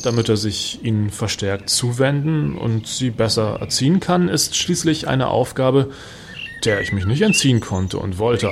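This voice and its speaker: A man's voice: medium pitch, creaky, noisy background. Smug, self-justifying man, late thirties. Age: late 30s. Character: self-righteous male singer-songwriter turned state informer, late thirties. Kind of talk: satirical radio play monologue and dialogue.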